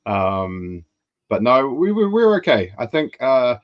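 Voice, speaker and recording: A man from New Zealand co-hosting a podcast, telling relaxed, casual anecdotes. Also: medium pitch, unhurried, highs cut off. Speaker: podcast co-host.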